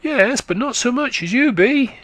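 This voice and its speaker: A man's voice: very high voice, lively intonation, unhurried, a bit bright, no echo, voice pushed up, full character voice. A man voicing a small chattering country boy who speaks in rustic dialect, piping and childlike. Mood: curious.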